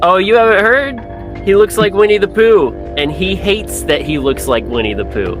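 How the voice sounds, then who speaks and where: A man's voice: sits high, lively intonation, normal speed, a bit bright. A man co-hosting a podcast, trading informal, joking banter with plenty of swearing. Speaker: podcast co-host. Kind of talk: casual conversational podcast banter. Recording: music playing behind the voice. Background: music.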